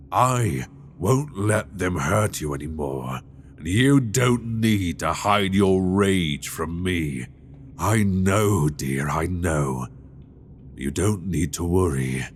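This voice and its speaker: A man's voice: a little hiss or hum, full character voice. A man playing an imprisoned fallen king in an intimate ASMR roleplay, possessive, menacing and tenderly doting, with a seductive, regal, condescending manner on a close binaural microphone. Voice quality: very deep, gravelly.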